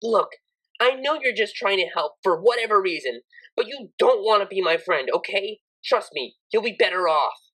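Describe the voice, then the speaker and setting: A woman's voice: medium pitch, animated, normal speed, fairly bright tone, low-quality recording. A woman voicing a troubled young unicorn mare in a story reading, defensive and guarded, speaking in curt, pleading phrases. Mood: guarded, upset.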